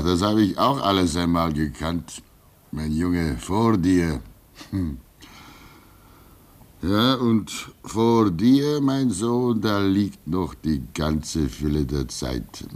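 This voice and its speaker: A man in his sixties playing a weary, pious old keeper at a polar station in a radio play, speaking wistfully with soft laughs. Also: a bass voice, gravelly, breathy edge, heavily acted. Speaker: actor.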